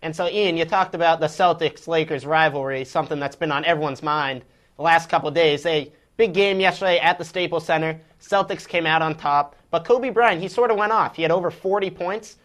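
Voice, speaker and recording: A man's voice: fairly high pitch, steady tone, a little fast, fairly bright tone. Young male co-host, earnest questioner. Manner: earnest, long set-up questions. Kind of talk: young interviewer asking questions.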